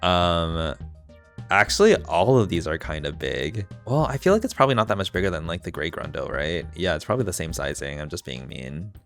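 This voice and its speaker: A young man with a deep voice and natural vocal fry, rambling casually and campily as he plays a game. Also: lively intonation, brisk, faint music behind. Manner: chatty, campy, self-mocking asides. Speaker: gaming video host. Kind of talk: casual rambling commentary while playing.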